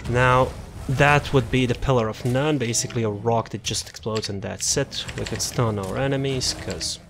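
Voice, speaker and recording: A man casually walking through a game character build, relaxed and rambling, with frequent fillers and restarts. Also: medium pitch, moderate pace, gravelly, noisy background. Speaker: gaming content creator. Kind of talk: casual build showcase commentary.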